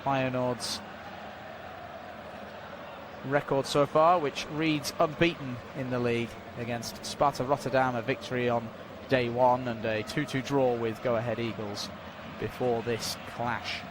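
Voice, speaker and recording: A man giving unofficial live commentary on a football match, calmly filling a stoppage with updates and statistics. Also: mid-range voice, noisy background. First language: not Dutch. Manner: measured, informative, filling time with stats and updates.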